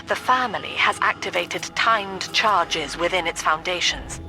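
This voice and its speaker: An actress playing a cold, zealous middle-aged cult-leader mother in a video game, with a British accent and measured, preachy formal diction. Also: lowish voice, pushed and bright, soft background music, rough recording. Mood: cold menace. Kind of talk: video game villain monologue.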